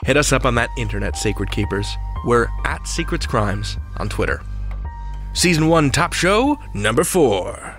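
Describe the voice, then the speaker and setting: American male podcast host, very animated pitch, playful, slangy, theatrical, countdown show delivery, music behind. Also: unhurried. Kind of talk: lively audio drama countdown show hosting.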